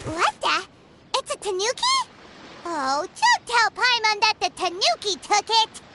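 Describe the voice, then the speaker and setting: A woman voicing a tiny, excitable, blunt fairy companion in an anime-style game, with a squeaky childlike voice. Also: unhurried, very noisy, heavily acted. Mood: surprised. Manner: exclamatory, refers to self in third person.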